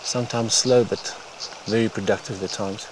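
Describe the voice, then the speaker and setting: A man giving instructional fly fishing commentary in halting, unhurried phrases. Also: fairly low pitch, fairly even intonation. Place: outdoors on a wooded stream bank. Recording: outdoor stream noise. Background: running water, outdoor ambience.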